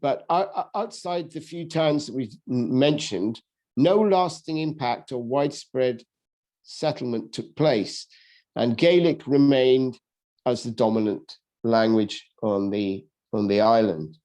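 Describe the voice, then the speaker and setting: A man lectures on history at an unhurried, slow pace, often stopping to restart his sentences. His voice is dark and mellow, sitting at an ordinary middle pitch. He talks in a loose, off-the-cuff way rather than reading. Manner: halting, many ums and restarts. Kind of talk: unscripted history talk. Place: lives in England.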